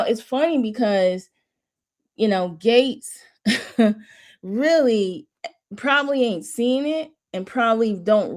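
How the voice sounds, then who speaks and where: A woman hosting a watch party in casual chat, rambling and restarting, her voice mostly monotone but jumping high at times. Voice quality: says her voice runs monotone then jumps high. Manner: rambling, restarting often, laughing between thoughts. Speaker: host of a watch party stream.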